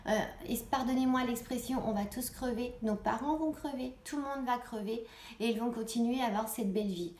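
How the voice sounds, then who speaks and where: High-voiced woman in an echoey room. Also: darkish tone. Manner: earnest, exhorting, repeats phrases for emphasis. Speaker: online video host. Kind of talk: informal political video address to viewers. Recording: echoey room, clear background noise.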